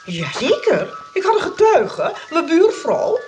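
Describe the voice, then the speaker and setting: A woman's voice: very high voice, huge pitch swings, slow, darkish tone, faint music behind, heavily acted. An actress playing a chatty, grasping shopkeeper in a Dutch radio play, coy and wheedling as she feigns kindness. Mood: haggling, insistent. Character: chatty, grasping female souvenir shopkeeper, feigning kind-heartedness.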